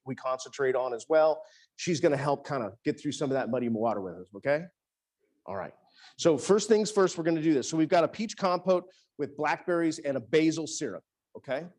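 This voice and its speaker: A man's voice: on the high side, a little mellow. A man, an executive chef, giving a casual cooking demonstration in a teaching kitchen, talking chattily with many restarts.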